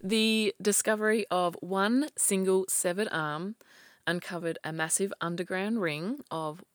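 A woman co-hosting a podcast, telling a true crime story in casual chat with a slightly croaky voice and frequent laughs. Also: mid-range voice, fairly even intonation, measured pace, no echo. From Australia. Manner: chatty storyteller, laughs often, restarts sentences.

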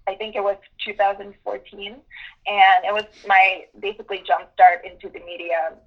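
A woman in her twenties, from Ukraine, answering interview questions with many pauses and restarts. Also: medium pitch, level tone, highs cut off. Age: 20s. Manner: thoughtful, with many ums and restarts. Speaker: guest journalist.

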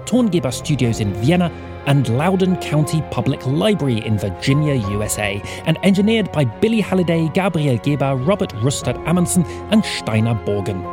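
A man's voice: a little high, big pitch swings, normal speed, music in the background. A man reading scripted announcements and credits as a podcast announcer. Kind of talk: scripted narration.

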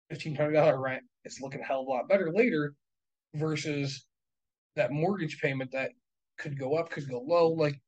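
A man in his thirties, a real estate investor, talking casually and bluntly, swearing and working through numbers out loud. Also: on the high side, rough recording. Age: 30s. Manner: profane, blunt, works through numbers aloud. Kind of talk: casual podcast chat.

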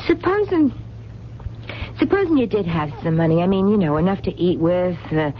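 A woman's voice: lowish voice, lively intonation, moderate pace, close to an everyday voice. Woman acting working-class waitress, radio drama, eager, earnest, unpolished diction, dull sound, faint noise. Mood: eager, probing. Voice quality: colloquial, unschooled diction. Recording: dull, noisy 1970s radio recording.

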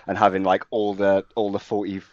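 A man's voice: lowish voice, completely flat delivery, brisk, a little mellow, highs cut off. A man from Wales co-hosting a podcast, telling stories in a rambling, self-deprecating way with lots of ums. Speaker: podcast co-host. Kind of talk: casual podcast anecdote.

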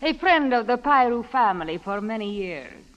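An actress playing a haughty, vengeful young villainess with a deliberate, menacing delivery in an old radio drama. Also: female voice, a little high, very animated, fairly bright tone. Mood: cool menace. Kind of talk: 1940s-50s radio drama acting. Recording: muffled old radio recording, reverberant.

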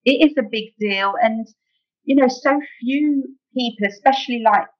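Muffled, higher-voiced middle-aged British woman. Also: moderate pace. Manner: chatty, frequent "you know". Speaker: novelist, interview guest. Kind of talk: casual conversational interview. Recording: muffled, dull audio.

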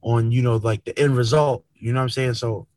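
A man's voice: medium pitch, fairly even intonation, brisk, a little mellow, no echo. A man talking casually as an interview guest, using lots of slang and tags like "man" and "you know what I'm saying".

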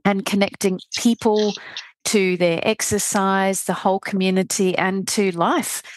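A woman's voice: medium pitch, steady tone, a little slow. A woman hosting a coaching podcast, speaking in a presenter's interview style. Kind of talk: scripted introduction and interview.

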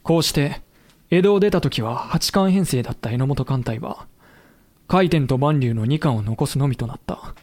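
A man narrating a historical audio drama in a solemn, deliberate, dramatic way. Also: medium pitch, a little mellow, close to an everyday voice.

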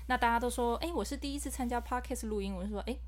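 A woman's voice: a little high, racing. Modest Taiwanese woman group planner. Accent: Taiwanese Mandarin. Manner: modest, laughing, easygoing. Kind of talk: casual podcast introduction.

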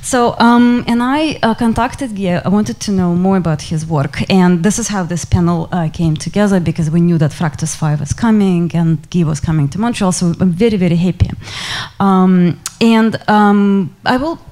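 A woman's voice: on the low side, moderate pace, darkish tone. A woman with a Russian accent opening a live panel talk, speaking with many hesitant fillers. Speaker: panel moderator. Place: lecture hall before an audience.

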